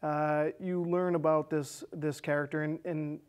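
A man's voice: fairly high pitch, little pitch movement, measured pace, clean tone. A man in his thirties with an American accent, chatting casually as a studio guest. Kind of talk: casual chat. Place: small indoor studio set with curtains. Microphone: lapel.

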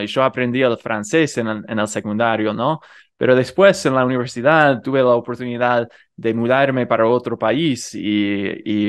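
A man's voice: mid-range voice, smooth. A man in his thirties from the United States, a native English speaker, with an American accent in learned Rioplatense Spanish, speaking earnestly as a video-call guest with many restarts. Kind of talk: conversational interview over video call. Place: home room. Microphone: headset with studio mic in front.

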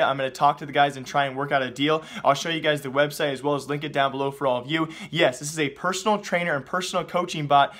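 Casting: male esports news host, twenties, slightly high voice, rapid-fire chatty delivery with excitable emphasis. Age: 20s. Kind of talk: esports news commentary to camera, YouTube delivery.

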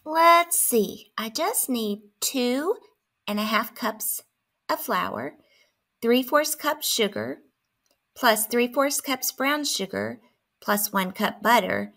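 A woman's voice: a little high, animated, slow, vocal fry, bright, forceful tone, heavily acted. A woman playing a grumpy, dramatic math skeptic who complains and exclaims in a children's storybook. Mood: musing.

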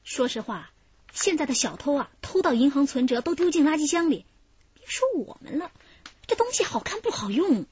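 A woman's voice: high-pitched, sing-song, normal speed, a little mellow, dry recording, highs cut off. A woman acting in a radio drama as a wary, defiant young woman in a criminal gang, complaining and evasive. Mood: reproachful, nagging.

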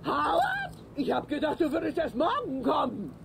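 An actor voicing a loud, brash elderly mother in a dubbed sitcom, blustering as if calling from another room. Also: high-pitched, wildly swooping pitch, moderate pace, gravelly, a little hiss or hum, full character voice. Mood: surprised.

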